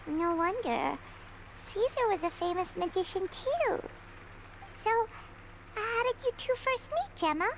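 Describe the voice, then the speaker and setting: A woman voicing a small, childlike, excitable fairy companion in a video game, exclamatory and squeaky. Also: unhurried, slight background noise, exaggerated voice, low-quality recording. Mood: curious. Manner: speaks of herself in third person, exclamatory. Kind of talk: animated video game voice acting.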